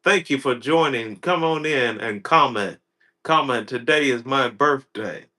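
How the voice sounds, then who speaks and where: Slow, bright-voiced man in his thirties. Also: medium pitch. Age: 30s. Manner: slow, repetitive, many fillers and restarts. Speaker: personal development coach. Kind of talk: casual livestream chat.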